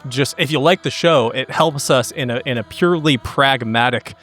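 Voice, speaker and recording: A man's voice: mid-range voice, normal speed, a bit bright, faint music behind. A man on a podcast talking casually to listeners, with crude humor and swearing. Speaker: podcast cast member.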